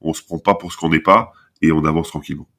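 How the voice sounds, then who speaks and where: A man's voice: extremely low pitch, fairly even intonation, fast, a bit bright. A talkative man with a head cold, chatting casually as a podcast guest, rambling and restarting his sentences. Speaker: podcast guest, basketball camp founder. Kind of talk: casual interview talk.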